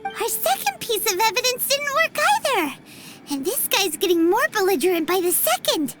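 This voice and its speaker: Little fairy companion, piercingly high, animated, childlike, blunt, fretful, video game. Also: female voice, normal speed, over loud music. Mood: worried, grumbling. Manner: blunt, childlike, refers to self by name. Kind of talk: video game cutscene voice acting.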